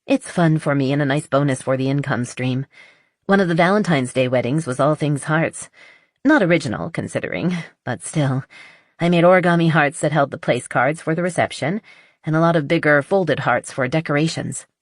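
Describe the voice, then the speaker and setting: A spirited woman artist voiced by an audiobook narrator, talking in a frank, animated, playful way. She is chatty and a little stubborn. The recording is dry and intimate. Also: sits low, a little fast, no echo. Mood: chatty, enthusiastic. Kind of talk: audiobook character dialogue.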